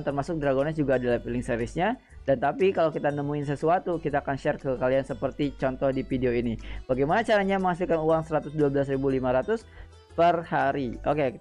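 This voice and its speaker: A man hosting a gaming channel, talking casually to his viewers, repeating himself and often pausing with hesitant fillers. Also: on the high side, a little mellow. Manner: chatty, repetitive, frequent hesitant fillers. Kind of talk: casual explainer chat to viewers.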